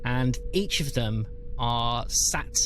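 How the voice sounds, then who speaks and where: A man's voice: medium pitch, slow, fairly bright tone, low-quality recording. A man running a tabletop role-playing game, narrating scenes and guiding the players. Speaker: game master. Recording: faint background noise.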